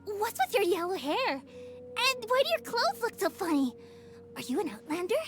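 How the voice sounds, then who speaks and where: A nosy excited kid. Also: female voice, extremely high pitch, moderate pace, over music. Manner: blunt nosy questions. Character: curious excitable young village kid. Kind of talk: game cutscene voice acting.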